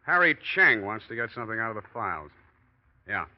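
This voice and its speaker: Crooked office manager, low-pitched, shifty and curt, vintage radio noir, muffled old recording. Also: male voice, sing-song, brisk. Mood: falsely casual. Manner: hesitant cover-ups, curt snapping. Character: scheming, nervy crooked office manager, adult man. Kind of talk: 1940s radio noir acting.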